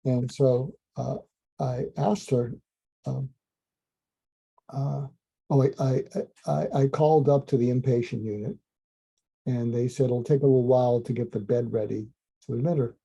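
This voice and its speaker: A man, a psychiatrist, calmly recounting a case in a slow, soothing, almost hypnotic way, with frequent ums and restarts. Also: medium pitch, creaky, breathy edge. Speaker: psychiatrist telling a clinical story. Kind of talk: calm storytelling monologue. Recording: dull lo-fi sound.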